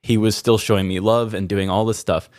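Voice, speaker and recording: Male podcast host, slightly deep, informal and reflective, intimate dry sound. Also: little pitch movement, fairly quick, darkish tone, no room sound. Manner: introspective, informal, occasional swearing. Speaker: podcast host sharing a personal story. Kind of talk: candid reflective conversation.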